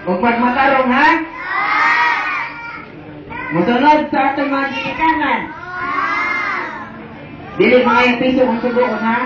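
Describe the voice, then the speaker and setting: A woman with a Visayan Filipino accent voicing an eager puppet companion who coaxes children along in a religious puppet show. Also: sits high. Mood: cheerful encouraging. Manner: coaxing, often adds questioning tags. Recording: distant, very echoey, muffled, noisy crowd.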